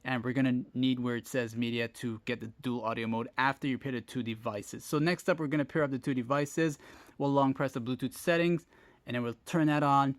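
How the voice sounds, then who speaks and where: A man's voice: medium pitch, steady tone, brisk, dry recording. A man hosting a tech tutorial, talking viewers through steps in a casual, informal way and addressing them directly. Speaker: host of a phone tech tutorial channel. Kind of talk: casual step-by-step tutorial narration.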